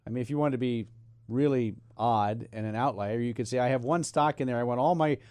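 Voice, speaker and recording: A man's voice: medium pitch, a little fast, darkish tone, smooth, no room sound. A man co-hosting a casual finance chat, restating points and asking clarifying questions.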